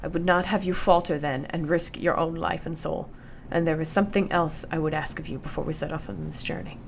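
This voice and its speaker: An actress playing a brave, devoted young wife in a radio play, speaking solemnly and formally. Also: female voice, deep voice, fairly even intonation, fairly quick, vocal fry, slight background noise. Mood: tender, grave.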